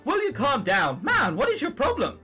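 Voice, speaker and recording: A man's voice: very high voice, wildly swooping pitch, moderate pace, bright, forceful tone, soft background music, voice pushed up, full character voice. A man voicing a teasing, exasperated young male unicorn character in a visual novel. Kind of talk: visual novel dialogue read aloud.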